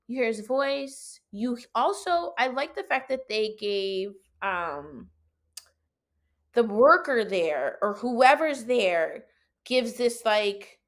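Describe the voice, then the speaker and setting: A woman with a high-pitched voice telling a personal story in casual, animated conversation, acting out her own reactions as she goes. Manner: animated, colloquial, acts out own quoted reactions. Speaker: podcast guest telling own experience.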